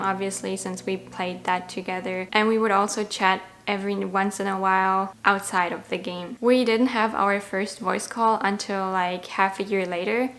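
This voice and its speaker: A woman's voice: mid-range voice, a bit bright, slight background noise. A woman in her twenties from Germany, a native German speaker with a German accent, telling her own story in a reflective, candid, conversational way to camera. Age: 20s. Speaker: language-learning vlogger.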